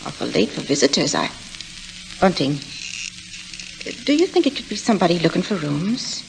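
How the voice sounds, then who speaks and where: A woman's voice: lowish voice, normal speed, vocal fry. An actress in 1940s radio style playing an anxious working-class landlady, fluttery, stammering and eager to please. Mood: uneasy. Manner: fluttery, stammering, servile, bustling. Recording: noisy old radio recording, steady hiss.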